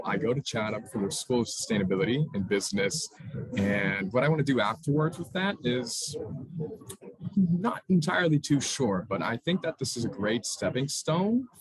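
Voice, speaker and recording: Low-voiced young man introducing himself. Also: low-quality recording. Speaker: student and organization staff member introducing himself. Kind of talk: casual self-introduction. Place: online meeting.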